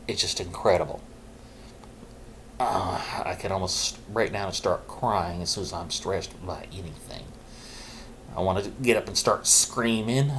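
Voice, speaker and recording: A middle-aged man, a vlogger, candidly telling his own story in a halting, reflective way, holding his naturally loud voice down low. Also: slight background noise. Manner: halting and reflective, with pauses and restarts; says he is keeping his loud voice low on purpose. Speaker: vlogger sharing his mental health story.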